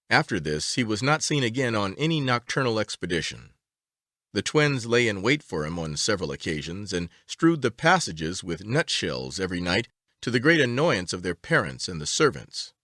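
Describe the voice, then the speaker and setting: A man reading a story aloud as an audiobook narrator, with a measured, expressive storytelling delivery. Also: on the low side, dry recording, studio quality.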